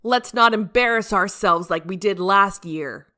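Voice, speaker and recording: A woman voicing a gruff, irritable male fire station captain in an audiobook, with a loud, emphatic, bossy delivery. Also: mid-range voice, a little slow, voice pushed up. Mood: warning.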